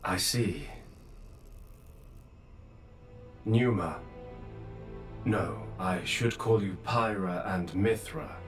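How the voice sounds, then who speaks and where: An actor playing an ancient, remorseful creator figure who speaks slowly and gravely. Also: male voice, deep voice. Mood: tender. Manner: slow, grave, measured. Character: ancient godlike male creator, solemn and remorseful. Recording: echoey far-off voice with hall reverb and music.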